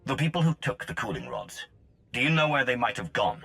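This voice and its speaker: An actor playing a stern, driven mercenary fighter in a video game, terse and commanding. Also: male voice, mid-range voice, wildly swooping pitch, fairly quick, creaky, fairly bright tone, faint music behind, exaggerated voice. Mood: wary questioning. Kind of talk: video game cutscene acting.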